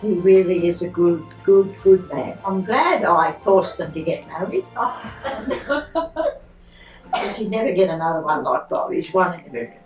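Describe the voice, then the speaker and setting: An older woman, a grandmother with a soft voice, talking gently and jokingly in a casual family interview. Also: on the low side, normal speed, long pauses, soft background music. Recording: echoey room heard from far off.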